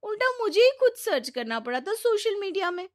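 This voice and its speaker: A woman's voice: very high voice, big pitch swings, fairly quick, pitched above the performer's own voice. A woman voicing a bubbly, boastful, oblivious young daughter-in-law in a cartoon story, gushing and exclaiming theatrically. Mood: whiny, indignant. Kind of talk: Hindi moral-story cartoon acting.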